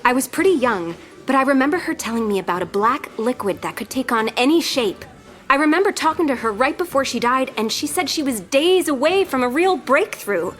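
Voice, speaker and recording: A woman's voice: high-pitched, expressive, a little fast, a bit bright, soft background music. An actress playing an anxious, earnest young woman in an audio drama, speaking with emotional, defensive feeling. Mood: insistent. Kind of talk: scripted audio drama dialogue.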